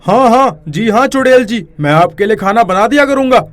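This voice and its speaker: A man voicing a flustered, pleading village cook in an animated children's story, anxiously repeating his words. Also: very high-pitched, moderate pace. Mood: nervous, pleading.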